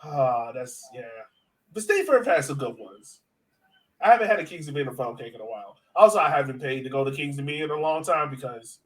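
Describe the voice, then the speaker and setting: A man hosting a sports podcast in a casual, animated, slangy and joking way. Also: mid-range voice, poor audio. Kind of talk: casual chat.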